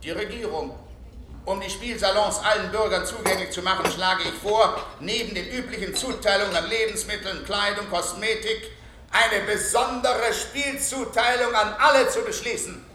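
An actor playing a sly British populist opposition leader, orating pompously and theatrically in a German radio play. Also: male voice, high-pitched, steady tone, normal speed, pushed and bright. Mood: grandiose, persuasive. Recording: echoey hall sound with slight noise.

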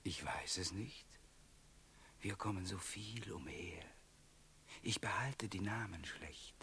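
An actor playing a calm, enigmatic wandering companion in a German radio play, speaking in a measured, cryptic, hushed way. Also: male voice, low-pitched, creaky, slight background noise, exaggerated voice. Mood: calm, knowing.

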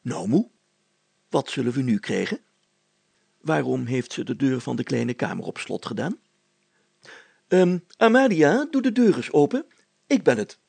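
Guy doing a pompous old-fashioned town mayor, flustered, blustery, pitch swooping about, bit gravelly. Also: very animated, unhurried, long pauses, darkish tone, dry recording, heavily acted. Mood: flustered, indignant. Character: pompous, flustered male burgomaster and police chief.

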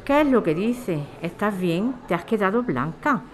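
A woman's voice: medium pitch, expressive, normal speed, lightly acted. A woman playing a motherly, concerned bar keeper in a radio drama, colloquial and given to exclaiming.

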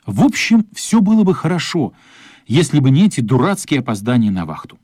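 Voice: medium pitch, big pitch swings, brisk, dark tone, husky, no room sound, studio quality. A narrator telling a story in scripted prose for a radio play.

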